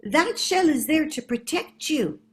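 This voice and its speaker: A woman's voice: high-pitched, expressive, a little slow, voice pushed up. A woman voicing a wise, caring mother bug giving soothing advice. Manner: soothing, counseling.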